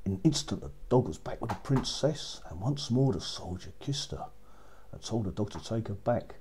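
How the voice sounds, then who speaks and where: A man narrating a children's story as a storyteller, with expressive, dramatic delivery. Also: fairly low pitch, moderate pace, a little hiss or hum.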